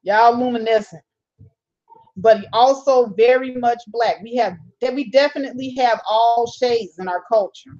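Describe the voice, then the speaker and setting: A woman's voice: a little high, unhurried, a little mellow, muffled recording. A woman hosting a casual live stream. She reads viewer comments aloud, answers them, and laughs often. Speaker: channel host reading live chat.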